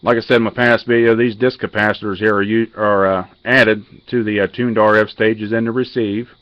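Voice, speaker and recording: A man giving a casual hands-on technical walkthrough as a radio hobbyist, halting and matter-of-fact, picked up on a handheld camera mic. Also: lowish voice, level tone, dry recording, lo-fi sound. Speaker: amateur radio hobbyist demonstrating a modified radio.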